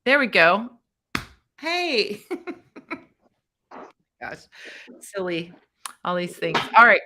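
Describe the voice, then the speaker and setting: Bright-voiced woman hosting a live tarot stream. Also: medium pitch, sing-song, drawn-out words, a little hiss or hum. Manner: chatty and encouraging, thinks aloud while fumbling with the stream setup.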